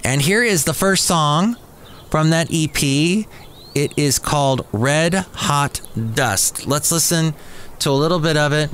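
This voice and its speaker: A man's voice: fairly high pitch, slow, a bit bright, a little hiss or hum. A man hosting a podcast in a café, chatting casually as he introduces new songs and reads emails aloud. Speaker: podcast host.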